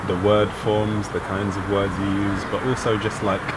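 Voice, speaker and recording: A man with a very deep voice muses in a relaxed, easy way about poetry and place. He sits some way off the microphone outdoors by a waterfront, with loud traffic rolling past. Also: normal speed. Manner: relaxed, musing, frequent "like". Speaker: poet. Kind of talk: casual conversation. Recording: distant mic with loud traffic noise.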